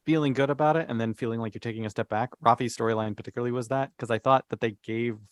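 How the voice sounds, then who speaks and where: A man's voice: mid-range voice, fairly even intonation, very fast, clean tone, no room sound, studio quality. A man talking casually as a panelist in a podcast discussion.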